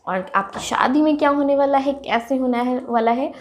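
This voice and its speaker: A woman hosting a casual tarot reading with a sore throat, clearing her throat often and chatting excitedly to her viewers as friends. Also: high-pitched, moderate pace. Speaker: tarot reader, channel host.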